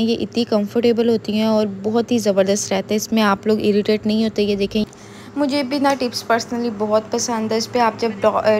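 A woman's voice: mid-range voice, a little hiss or hum. A young woman, chatting steadily as she narrates her salon vlog, leaning on filler phrases. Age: young adult. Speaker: salon owner and beauty vlogger. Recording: clear room ambience behind.

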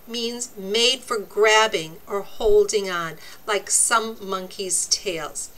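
A woman reads a children's information book aloud in a bright, fairly high voice. Her pitch moves in lively swoops, and she phrases things deliberately, like a teacher, adding short explanations as she goes. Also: poor audio. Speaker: reader of a children's nonfiction book. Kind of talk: read-aloud for young children with explanatory asides.

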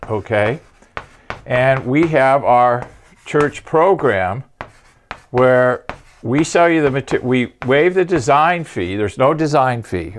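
An older man in his sixties teaches in an informal lecture style, with a medium-pitched voice. He explains things point by point like an instructor at a chalkboard, often checking that the listener follows. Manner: explanatory, punctuates points with "okay". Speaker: acoustics company presenter and instructor. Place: indoor studio, at a chalkboard.

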